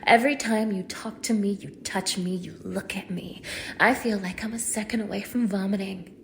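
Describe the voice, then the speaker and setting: A woman's voice: mid-range voice, fairly even intonation, moderate pace, vocal fry, close to an everyday voice. Girl acting a cruel young woman captor, mocking and degrading, audio roleplay, noisy background. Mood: furious disgust. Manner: mocking, degrading, swinging from hushed menace to shouting. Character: cruel, contemptuous young woman holding her captive. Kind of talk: dramatic audio roleplay, spoken close to one listener.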